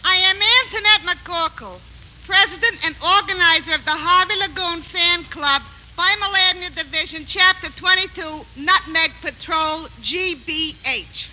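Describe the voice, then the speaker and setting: Brassy, slow-drawling young fan club girl. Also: very high voice, heavily acted. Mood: snooty. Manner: drawling, deadpan, flippant. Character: brassy, deadpan twenty-year-old female fan club president. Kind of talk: 1940s radio comedy acting. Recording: bright, lightly noisy 1940s radio recording.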